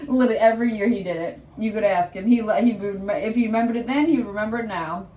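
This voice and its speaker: A woman's voice: mid-range voice, very fast, warm tone. A young woman from Michigan co-hosting a casual vlog chat, rambling with lots of fillers and frequent giggles. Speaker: vlog co-host. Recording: echoey room with faint background noise.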